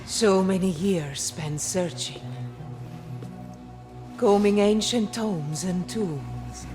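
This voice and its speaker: A woman's voice: on the low side, animated, extremely slow, over music. A woman playing a patient, obsessed female villain in a video game, savouring her words theatrically. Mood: gloating. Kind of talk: dramatic video game acting.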